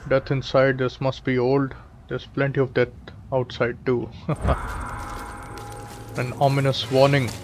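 A man's voice: medium pitch, monotone, normal speed, a little hiss or hum, rough recording. A man with an Indian accent, a native Hindi speaker, giving casual commentary as he plays a video game. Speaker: gaming video creator. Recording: game audio and music behind.

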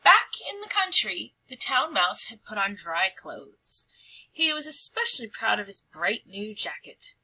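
A woman reading a children's storybook aloud in an expressive, animated and carefully phrased narration. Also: medium pitch, a little slow, husky, rough recording. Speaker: storybook reader.

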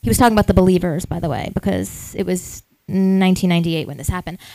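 A woman's voice: fairly low pitch, fairly even intonation, quick pace, creaky, mellow, dry recording, rough recording. A woman in her thirties, a podcast co-host, telling a long rambling story with lots of asides at a live event.

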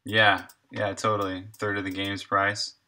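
A man's voice: fairly low pitch, flat delivery, measured pace, bright, forceful tone. A man in his thirties livestreaming game development, casually thinking aloud in short, muttered thoughts as he works.

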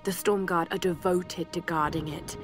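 An actress playing a shaken but determined young adventurer, narrating her survival journal in a game with a breathy voice that often drops toward a whisper. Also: fairly low pitch, fairly even intonation, moderate pace, natural voice. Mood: worried, reflective. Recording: music behind the voice.

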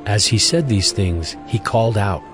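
Low-voiced male scripture narrator over music. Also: lively intonation, normal speed.

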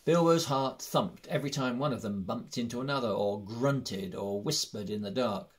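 A man's voice: mid-range voice, normal speed. A man reading a fantasy story aloud as scripted narration. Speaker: audiobook reader.

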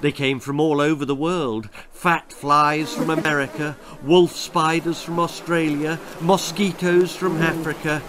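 A man's voice: fairly high pitch, level tone, a little slow, hardly any pauses, noisy background. A British man in his sixties reading a children's story aloud in a dramatic, theatrical manner. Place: small book-lined room indoors.

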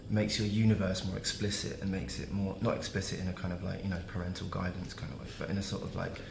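Deep gravelly man, flat and halting. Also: reverberant, background noise. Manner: halting, searching for words. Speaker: panelist. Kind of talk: casual panel discussion.

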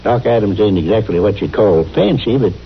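A man's voice: lowish voice, animated, a little fast, breathy edge. A man playing a fussy, eager-to-please American hotel clerk in a radio western, talking in a flustered, rambling folksy way. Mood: obliging, chatty. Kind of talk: old-time radio acting. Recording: muffled old radio with hum.

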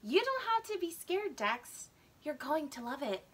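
Woman playing a bright-voiced, sassy big sister. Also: high voice, sing-song, measured pace, exaggerated voice. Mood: reassuring. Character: reassuring, teasing big sister, a third-grade girl.

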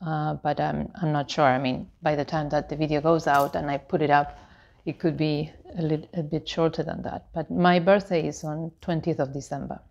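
A woman of about fifty chatting casually to camera as a lifestyle vlogger, in a halting, meandering, explanatory way. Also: low voice, fairly even intonation, gravelly, darkish tone, dull audio. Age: 49, about to turn 50. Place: living room with tiled floor, indoors. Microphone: clip-on lapel microphone.

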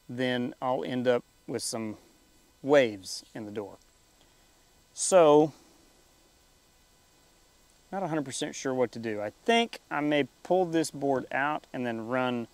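A young man hosting a DIY farm video, thinking aloud in a plain, unhurried way while he works outdoors in a farmyard, picked up from a distance by the camera. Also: medium pitch, no echo. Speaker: DIY farm channel host. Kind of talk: casual building tutorial narration.

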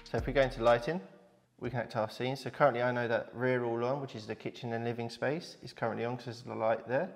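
A man's voice: medium pitch, steady tone, quick pace, faint music behind. A man in his thirties explaining an installation in a matter-of-fact, instructional way, like a tradesman presenting a walkthrough. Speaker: smart home installer presenting his company's work.

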